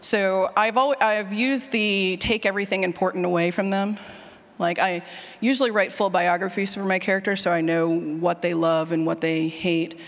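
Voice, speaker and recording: A speaker giving an informal, animated conference talk on craft in the manner of a former teacher, emphatic and conversational. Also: mid-range voice, normal speed. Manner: animated, informal, emphatic, repeats questions aloud. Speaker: speaker presenting a writing workshop, former college teacher. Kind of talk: conference talk with audience questions. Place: indoor conference session room or hall. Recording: hall reverb on a live talk.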